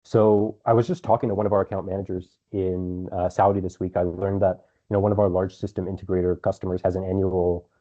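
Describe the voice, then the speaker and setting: A man with a deep, dark voice answers investor questions as a company executive. His business delivery is measured, with words sometimes repeated as he forms a point. The call audio sounds dull and band-limited. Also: little pitch movement. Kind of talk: earnings call answers, semi-prepared business talk.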